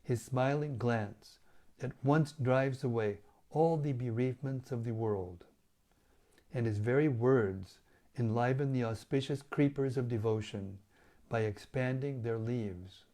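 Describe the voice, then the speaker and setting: Hoarse guy reading scripture slow and devout, mellow dark voice, online class. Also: medium pitch. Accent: British English. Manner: devotional, measured reading with self-corrections. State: losing his voice, hoarse. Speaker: spiritual teacher reading scripture.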